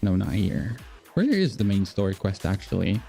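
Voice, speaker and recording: Male game streamer, lowish pitch, unhurried casual rambling, faint music underneath. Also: big pitch swings, gravelly, mellow. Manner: rambling, repeating words as he thinks aloud. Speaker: live streamer.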